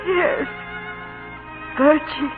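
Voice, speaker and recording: An actress playing a gravely ill, gentle and resigned mother in an old-time radio drama. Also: high-pitched, very slow. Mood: anguished, fading. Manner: gentle, frail. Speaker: radio actor. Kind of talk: 1930s radio drama acting. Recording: tinny old radio broadcast with loud music.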